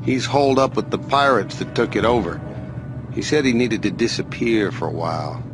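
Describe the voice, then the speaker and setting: A man's voice: on the low side, moderate pace, natural voice. An actor playing a cocky, swaggering male space racer who taunts with a showy manner, in a game cutscene. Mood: friendly. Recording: game cutscene with loud music.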